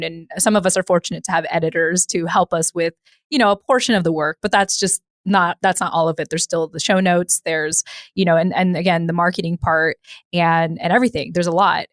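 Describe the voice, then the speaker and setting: A woman's voice: on the low side, no echo, studio quality. A woman hosting a podcast in a casual, conversational and emphatic way. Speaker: podcast host.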